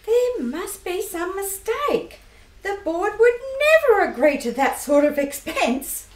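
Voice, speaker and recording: A woman puts on a gushing, excitable lady for an amateur radio play. Her voice sits high and leaps all over. She draws out her words, and the room gives a clear echo. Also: takes it slowly, full character voice. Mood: doubtful. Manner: gushing, squealing delight. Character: excitable elderly-home sister and wife Molly, woman.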